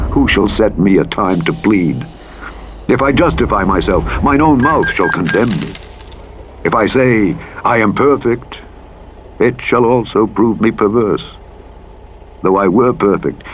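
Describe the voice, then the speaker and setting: A low-pitched male narrator reads scripture in a solemn and formal way. His delivery is measured and deliberate, like an audiobook Bible reading. A faint rumble of vehicle engine noise sits behind the voice. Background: truck simulator engine noise.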